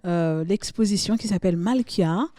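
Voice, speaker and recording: A woman from Congo, an exhibiting artist, talking slowly and hesitantly in an interview. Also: medium pitch, dark tone.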